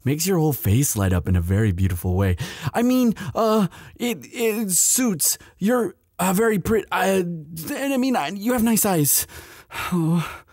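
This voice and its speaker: A man voices a young male demon student, sweet and protective, in an intimate audio roleplay. His voice is soft-edged and husky, with lively pitch movement. It is recorded dry and close. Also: measured pace, dry recording, exaggerated voice. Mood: flustered, smitten. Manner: hesitant stammering when shy, firm when angry.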